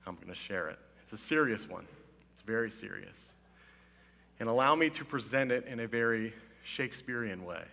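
A man in his thirties, a pastor, giving a casual, humorous sermon in a slightly hoarse voice, playful and mock-serious, on a headset mic on a church stage. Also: mid-range voice, sharp tone. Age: 30s. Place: church stage with wood-panelled wall. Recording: long hall reverb.

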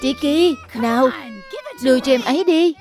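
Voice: high voice, moderate pace, a little mellow, faint music behind, lightly acted. A performer voicing earnest, childlike young animal cubs in a dubbed children's cartoon.

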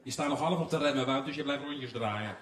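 A man's voice: medium pitch, brisk, fairly bright tone, a little hiss or hum. A man, presenting playfully and teasingly on stage as a show host. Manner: teasing, joking. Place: large theatre stage with choir. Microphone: handheld microphone. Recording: echoey stage, distant from mic.

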